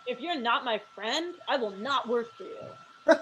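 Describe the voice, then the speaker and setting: A woman's voice: a little high, animated, slow, a bit bright, slight background noise, low-quality recording. A woman playing a breezy, shameless young hustler in a comedy table read, blunt and matter-of-fact.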